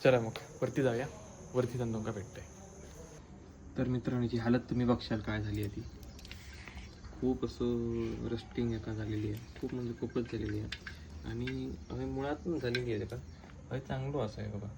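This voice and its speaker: A man with a Malvani accent talks informally, explaining his work step by step like a motorcycle vlogger. His mid-pitched voice stays quite flat. The handheld phone recording has clear background noise. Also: moderate pace, long pauses. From Konkan, western India. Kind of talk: casual hands-on restoration vlog.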